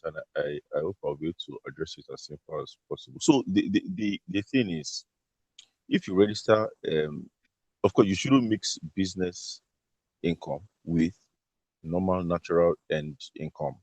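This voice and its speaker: A man's voice: low voice, normal speed, darkish tone, dry recording. A man explaining tax rules to an online audience, often repeating words as he searches for them. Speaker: tax speaker.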